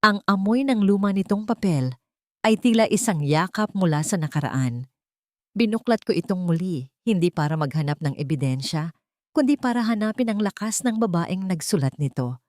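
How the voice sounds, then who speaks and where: Low-pitched woman narrating a dramatic story. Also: animated, normal speed, no room sound, clean studio sound. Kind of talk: scripted first-person dramatic story narration.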